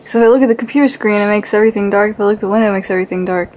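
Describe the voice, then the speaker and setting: A woman's voice: mid-range voice, rapid-fire, a little mellow, a little hiss or hum. A woman vlogger talking casually, as if to viewers of her daily vlog.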